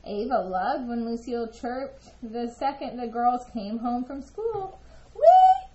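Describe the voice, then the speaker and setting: A woman narrating a children's picture book aloud in an expressive storytelling style. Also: fairly high pitch, unhurried, highs cut off. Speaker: storybook reader.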